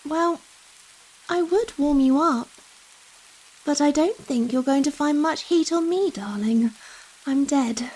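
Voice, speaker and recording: Woman acting a slow, high-voiced giant vampire seductress. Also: rough recording. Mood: tender, caring. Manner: slow, coy, coddling talk with pet names. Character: giant female vampire, gentle, teasing, possessive and dominant. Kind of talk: intimate ASMR roleplay acting. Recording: rain falling behind the voice. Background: steady rain.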